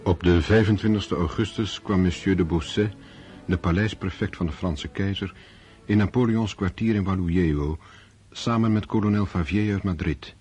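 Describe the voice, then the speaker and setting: An actor in a radio play voicing a grave, reflective figure in a war epic, measured and sober. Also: male voice, low voice, darkish tone, close to an everyday voice. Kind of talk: radio drama narration.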